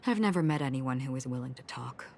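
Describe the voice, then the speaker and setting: An actress playing a calm, melancholic swordswoman in a video game, speaking in a measured, grave, philosophical way. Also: very deep voice, creaky, slight background noise, heavily acted. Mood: somber narrating. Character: solemn, enigmatic female swordswoman wanderer, calm and melancholic. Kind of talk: scripted game voice acting.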